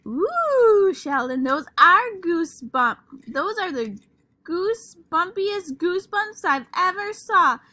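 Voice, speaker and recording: A woman's voice: big pitch swings, moderate pace, hardly any pauses, fairly bright tone, dry recording, heavily acted. A woman doing a gushing, prissy little girl. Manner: high, gushing, sing-song and bossy.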